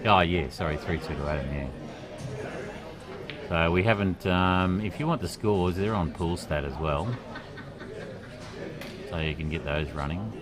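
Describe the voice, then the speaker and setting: A man with an Australian accent commentating a pool match in a relaxed, chatty way, with a quiet voice and many fillers. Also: deep voice, a little slow, pauses often, crowd noise.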